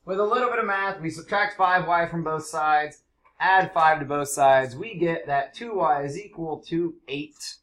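A man teaching high school math, his voice stuffy and congested from allergies. He sits a bit high in pitch and explains in a loose, chatty classroom manner, full of fillers. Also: normal speed, fairly bright tone. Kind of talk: classroom lesson.

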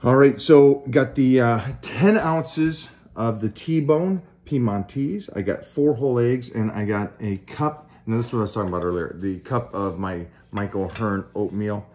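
A middle-aged man in casual chat at his home kitchen counter, calmly explaining his meal plan between bites. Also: medium pitch, normal speed, few pauses. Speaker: bodybuilder vlogger. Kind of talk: casual chat over breakfast.

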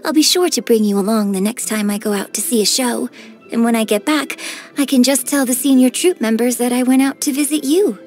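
A woman voicing a graceful, gentle and cheerful young female opera singer in a game, with polite, elegant diction. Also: a little high, fairly quick, close to an everyday voice. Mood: friendly, eager. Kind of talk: scripted game character voice lines. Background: background music.

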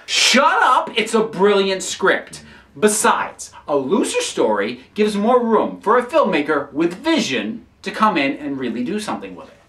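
A man's voice: high voice, huge pitch swings, unhurried, fairly bright tone, heavily acted. A young man acting an earnest, stubborn geek who argues insistently in a scripted comedy sketch. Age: young adult. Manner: insistent, emphatic. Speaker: actor in a web comedy sketch. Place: small furnished room indoors. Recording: roomy, mic some distance away.

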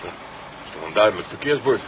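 A man's voice: fairly low pitch, expressive, quick pace, a little hiss or hum, lightly acted, poor audio. A man playing a courteous, inquisitive writer on holiday in a naturalistic radio drama. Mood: puzzled.

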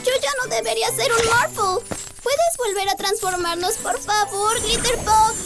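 Casting: small cartoon child, extremely high voice, loud music behind. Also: normal speed. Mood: apologetic, relieved.

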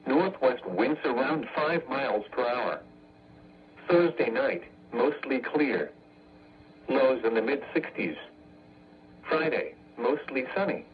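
A man's voice: medium pitch, animated, slow, pauses often. Male synthetic announcer for automated weather radio: stilted, impersonal, clipped phrasing, muffled lo-fi broadcast with slight background noise. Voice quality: synthetic, stilted text-to-speech. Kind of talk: synthesized weather forecast reading.

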